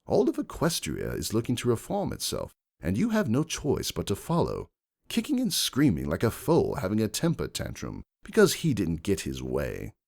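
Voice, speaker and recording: Male reader as progressive noble stallion, assured, emphatic and cutting, storybook drama, hugely varied intonation, some gravel. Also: fairly low pitch, wildly swooping pitch, normal speed, darkish tone, full character voice. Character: self-assured wealthy gentleman stallion, progressive, defiant. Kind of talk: dramatic first-person fan fiction reading.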